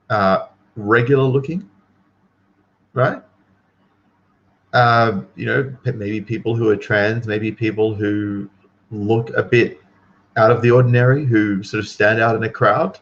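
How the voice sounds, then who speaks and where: A man's voice: fairly low pitch, highs cut off. A man giving casual, opinionated commentary to livestream viewers in an incredulous, emphatic, slangy manner.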